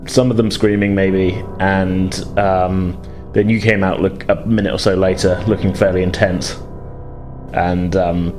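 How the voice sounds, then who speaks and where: Low-voiced male game master over music. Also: little pitch movement, unhurried, a little mellow. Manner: tentative, conversational. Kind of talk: improvised tabletop game narration. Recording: music behind the voice.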